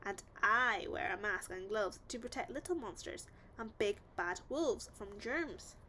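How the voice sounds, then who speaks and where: A woman's voice: mid-range voice, lively intonation, measured pace, sharp tone, slight background noise. A woman playing a friendly, reassuring dental assistant in a storybook, explaining playfully to children.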